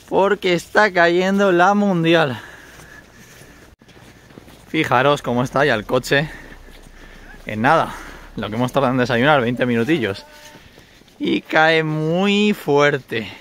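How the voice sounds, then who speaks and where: A man's voice: mid-range voice, measured pace, pauses often, a bit bright. A man in his thirties with a Castilian Spanish accent, chatting informally and expressively as he vlogs on a handheld phone. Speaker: vlogger. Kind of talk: casual vlog narration and chat. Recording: noisy handheld on-location recording.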